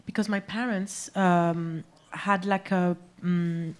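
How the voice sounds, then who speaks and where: A woman's voice: on the low side, fairly even intonation, takes it slowly. Hesitant woman. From Algeria, raised in France. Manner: hesitant, searching for words, many fillers. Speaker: musician guest. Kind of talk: live on-stage interview, casual chat. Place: small music venue stage.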